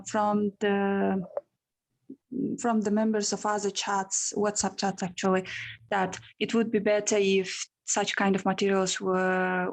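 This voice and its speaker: A woman asking a question on a video call, hesitant and often restarting her phrases. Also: medium pitch. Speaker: audience member asking a question. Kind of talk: online Q&A question.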